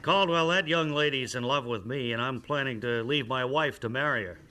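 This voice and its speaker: A man's voice: mid-range voice, normal speed, pushed and bright, natural voice. A man playing a prim, disdainful executive. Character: stuffy young company president, prim and dry. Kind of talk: radio comedy sketch. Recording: old radio broadcast with hiss.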